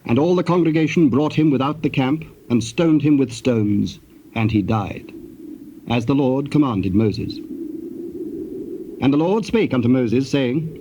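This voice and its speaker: A man narrating dramatized scripture in a formal, measured storytelling manner. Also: mid-range voice, expressive, dark tone. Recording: muffled, faintly hissy tape.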